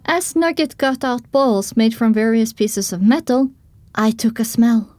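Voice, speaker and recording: A woman's voice: on the high side, measured pace, a little mellow, no echo. A woman reading first-person dramatic story narration for an audio drama.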